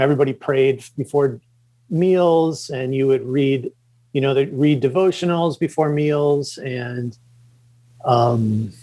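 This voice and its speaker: A middle-aged man from the Chicago suburbs, a podcast guest, talking casually and haltingly with many fillers and restarts. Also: fairly high pitch, darkish tone. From Chicago suburbs, Illinois. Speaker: podcast guest, comics creator. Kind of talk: casual interview talk.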